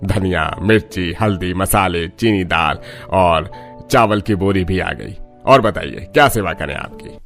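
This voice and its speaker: A man's voice: deep voice, measured pace, a bit bright, faint music behind. Man playing a two-faced, greedy village grocer. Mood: fawning.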